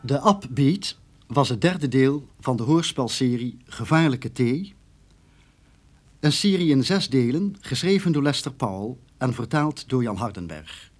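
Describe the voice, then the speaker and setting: A formal male radio announcer reads scripted credits very slowly and deliberately. His mid-pitched voice has lively intonation.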